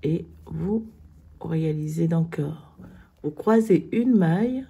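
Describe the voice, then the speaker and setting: A woman with a cold, hoarse, slowly explaining knitting stitches step by step in a tutorial. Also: sits low, expressive, mellow. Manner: slow, step-by-step instructions.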